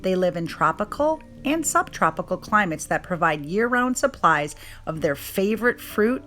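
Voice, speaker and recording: A woman's voice: medium pitch, big pitch swings, moderate pace, sharp tone. A woman narrating scripted text as a children's storybook read-aloud. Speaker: storybook reader. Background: music.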